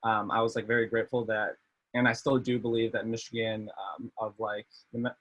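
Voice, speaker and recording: A man's voice: on the low side, level tone, brisk, fairly bright tone, lo-fi sound. Rambling young man on a video call. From Maryland, USA. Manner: rambling, many fillers and restarts. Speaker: medical student panelist. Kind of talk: casual panel talk.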